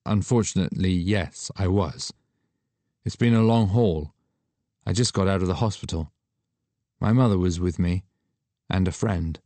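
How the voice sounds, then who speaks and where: A man voicing a subdued young woman, a retired model, who answers in a quiet, understated way. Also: deep voice, measured pace, long pauses, dry recording.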